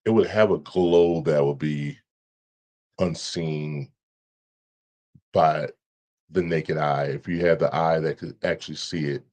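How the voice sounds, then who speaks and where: A man with an extremely low, deep voice talks casually as a podcast guest. He speaks haltingly, restarting and repeating words as he thinks aloud. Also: fairly even intonation, a little mellow. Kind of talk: casual conversation.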